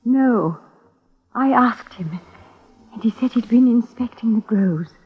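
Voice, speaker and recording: A bitter, sickly planter's wife. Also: female voice, a little high, lively intonation, slow pace, husky, full character voice. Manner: cold, bitter and clipped. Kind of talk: 1950s radio drama acting. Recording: tinny old radio broadcast.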